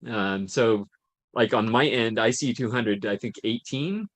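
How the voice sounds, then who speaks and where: A man hosting a casual live-stream chat, halting and repeating words as he talks. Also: on the low side, fairly bright tone, dry recording. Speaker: host.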